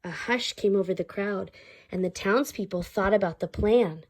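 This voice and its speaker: A woman reading a children's story aloud, pausing to explain things simply to her listeners. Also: medium pitch, a little mellow, husky. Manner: pauses to explain words and ask listeners questions.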